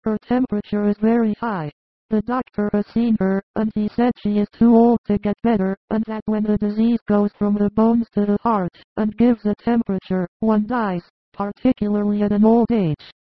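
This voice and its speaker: A man's voice: high voice, flat delivery, moderate pace, dry recording, pitched above the performer's own voice, lightly acted. A man doing an anxious young housewife. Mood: worried. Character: anxious worn-out eldest daughter-in-law. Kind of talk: audiobook character voice. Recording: dry, tinny phone-like sound.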